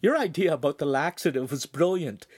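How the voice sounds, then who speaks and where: A man voicing a spirited teenage girl, pitched up. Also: animated, a little fast, darkish tone, husky, no echo, clean studio sound. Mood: amused. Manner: insistent, chiding.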